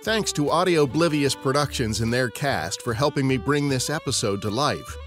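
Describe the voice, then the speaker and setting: A man's voice: mid-range voice, expressive, moderate pace, fairly bright tone. An American man hosting a podcast, reading scripted narration. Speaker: podcast host. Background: guitar music.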